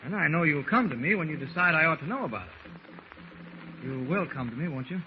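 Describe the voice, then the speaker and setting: A man plays a calm, authoritative hero in an old radio drama. He is earnest and well-spoken, pitched a little high for a man. The sound is dull, with clear noise. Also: moderate pace, close to an everyday voice. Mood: concerned. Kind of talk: 1940s radio acting. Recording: muffled, noisy old radio recording.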